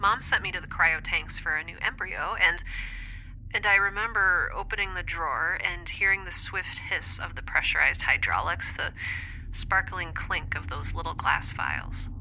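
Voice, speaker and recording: A woman's voice: fairly low pitch, normal speed, sharp tone, slight background noise, poor audio. A woman playing an anxious young scientist in an audio drama, thinking aloud in a hesitant, confessional monologue. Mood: haunted, reflective. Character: young woman scientist, anxious, introspective, rambling recorded diary.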